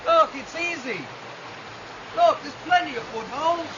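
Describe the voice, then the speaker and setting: An actor playing a bold, curious citizen in a 1970s dystopian radio drama, with a British accent and an eager manner. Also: male voice, very high voice, measured pace. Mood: eager, coaxing. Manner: eager, coaxing, adventurous. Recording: dull, noisy old radio recording.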